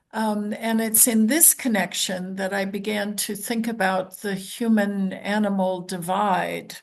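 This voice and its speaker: An older woman speaking on a roundtable panel, hoarse and croaky from a lingering cold, thoughtful and unhurried with many pauses and ums. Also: medium pitch. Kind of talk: academic roundtable talk.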